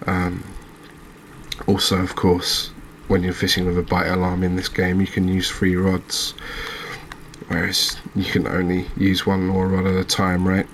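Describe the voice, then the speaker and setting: Low, very even-toned man commentating softly on gameplay. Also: unhurried, a little hiss or hum, poor audio. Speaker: host of a gaming channel playing a fishing game. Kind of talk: quiet ASMR gameplay commentary.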